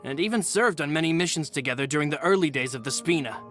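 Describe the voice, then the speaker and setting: An actor playing a loyal, steady male assistant in a video game. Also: on the high side, fast, music in the background, close to an everyday voice. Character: loyal male right-hand man, calm. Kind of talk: game voice acting.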